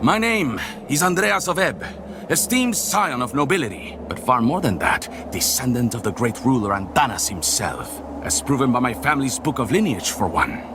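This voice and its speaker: An actor playing a self-important, condescending nobleman in a dark fantasy game, with a flowery, theatrical delivery. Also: mid-range voice, big pitch swings, normal speed, noisy background, close to an everyday voice. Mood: pompous, boastful.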